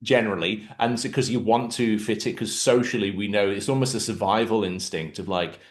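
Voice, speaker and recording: A man with a Mancunian accent hosting a relaxed podcast interview, explaining terms for the listeners. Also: on the low side, fairly quick. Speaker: podcast host. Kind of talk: casual interview.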